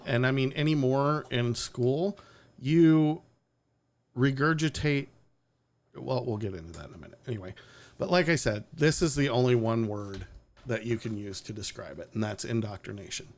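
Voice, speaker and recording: A man's voice: mid-range voice, moderate pace. Male stream host, blunt and sarcastic, casual opinionated delivery, swears freely. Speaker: podcast host.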